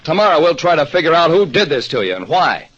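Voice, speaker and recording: A dull, noisy old radio recording of an American private detective. He narrates his case in a wry, colloquial way, with a steady temper and very animated intonation. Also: fairly high pitch, quick pace. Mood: brisk, steady.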